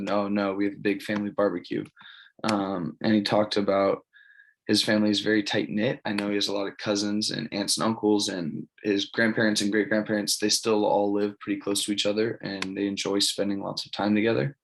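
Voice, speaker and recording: A man's voice: lowish voice, flat delivery, fairly quick, a bit bright, poor audio. A man, giving an informal spoken presentation in a plain, matter-of-fact, casual way. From California, United States. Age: early 20s. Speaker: college student presenting an assignment.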